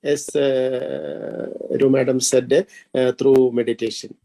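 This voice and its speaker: A man with a flat, mellow voice answers haltingly on a video call, slowly feeling his way through a reply. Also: mid-range voice, vocal fry, no room sound. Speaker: caller answering the teacher.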